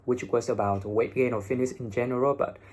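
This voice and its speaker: A young man from Vietnam with a Vietnamese accent and a slightly husky voice, giving blunt, informal English-learning advice and swearing freely, recorded on a phone. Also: medium pitch, fairly even intonation, a little fast, a little mellow. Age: young adult. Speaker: English teacher and video host. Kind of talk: casual advice talk to camera. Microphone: phone, no separate microphone.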